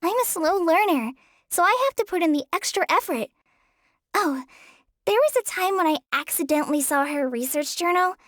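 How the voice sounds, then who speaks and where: A woman's voice: extremely high pitch, animated, no room sound, studio quality. A woman voicing a shy, earnest teenage girl in a video game, hesitant and apologetic in the way she talks. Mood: hesitant, modest. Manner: hesitant, apologetic, soft-hearted, halting with stammers. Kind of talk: video game character voice lines.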